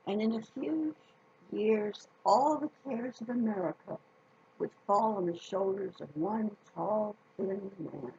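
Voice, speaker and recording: Unhurried woman storyteller with a creaky voice. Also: mid-range voice, a little mellow, dull audio. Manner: folksy, deliberate, addresses listeners directly. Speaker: storyteller reading a picture book to children. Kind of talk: animated children's story read-aloud.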